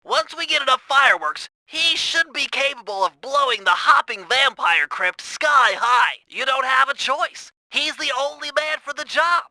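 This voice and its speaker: A man's voice: very high voice, animated, normal speed, hardly any pauses, pushed and bright, no echo, full character voice, poor audio. A man voicing a brainy, earnest young turtle tech genius for a cartoon video game, talking in a precise, wordy, nasal way. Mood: confident briefing. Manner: precise, wordy, pedantic planner.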